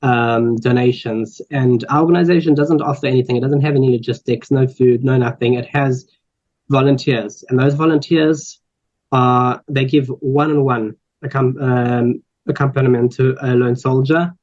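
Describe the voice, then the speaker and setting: A South African man in his twenties speaks on a panel in an earnest way, pausing often on ums and restarting his sentences. His pitch stays flat and even. The recording sounds a little dull and lo-fi. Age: 20s. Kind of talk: personal introduction at a panel talk. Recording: slightly dull lo-fi audio.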